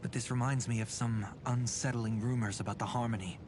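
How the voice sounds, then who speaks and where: A man's voice: medium pitch, steady tone, a little fast, noisy background, close to an everyday voice. A man acting a solemn, courteous and watchful companion in an anime-style game, speaking in a formal, serious way. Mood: solemn, wary. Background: music.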